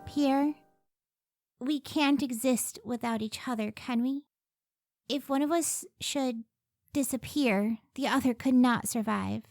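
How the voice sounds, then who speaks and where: An actress playing an earnest, devoted woman physicist in an old-time radio drama, with measured, formal phrasing. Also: sits high, a little mellow, no room sound, clean studio sound. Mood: tender, anxious.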